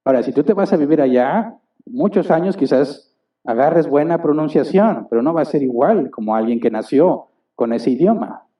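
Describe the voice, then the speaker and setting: A man's voice: on the high side, expressive, moderate pace. A man preaching a sermon as a pastor, asking the congregation questions and acting out little exchanges. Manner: asks the congregation rhetorical questions; acts out little dialogues. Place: church hall. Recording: distant, dull church recording.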